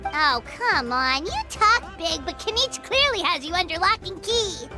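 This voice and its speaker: Cheeky childlike game fairy: piercingly high, animated, quick to take offense. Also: female voice, over music. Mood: annoyed, mocking. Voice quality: squeaky, childlike. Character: tiny childlike fairy companion, cheeky, excitable, easily offended. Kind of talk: cartoonish video game character acting.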